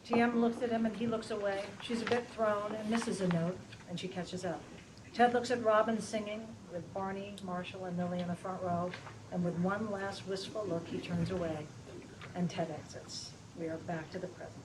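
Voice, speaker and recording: A woman's voice: medium pitch, fairly even intonation, moderate pace. Woman reading stage directions, distant and noisy. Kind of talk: scripted narration at a table read. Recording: noisy, distant live room with audience.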